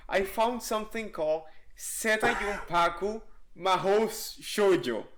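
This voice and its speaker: A man chatting casually as a podcast host, excitable and prone to exclaiming. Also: high-pitched, a little slow, slight background noise.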